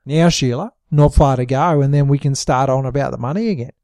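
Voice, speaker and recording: A man voicing a calm, menacing crook. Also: medium pitch, brisk, no room sound, clean studio sound, voice pushed down, natural voice.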